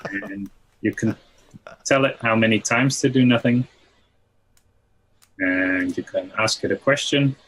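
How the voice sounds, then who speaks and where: A man giving a deadpan, casual screen-share demonstration over a video call. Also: medium pitch, measured pace, long pauses, a little hiss or hum, poor audio. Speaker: guest plugin developer demonstrating.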